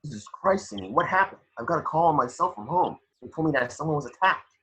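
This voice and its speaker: Man acting protective cop, urgent, quick delivery, bright husky voice. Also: on the high side, lively intonation, exaggerated voice, rough recording. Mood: urgent, concerned.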